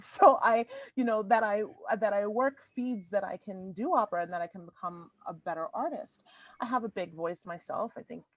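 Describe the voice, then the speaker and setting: A woman's voice: fairly low pitch, no echo, rough recording. A woman opera singer talking candidly and frankly on a panel over a video call. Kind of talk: panel discussion.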